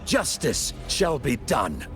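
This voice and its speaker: An actor playing a solemn, menacing, self-righteous priest in a video game, with grandiose preachy declamation. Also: male voice, medium pitch, wildly swooping pitch, slow, loud music behind the voice. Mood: wrathful judgment. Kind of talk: theatrical video game voice acting.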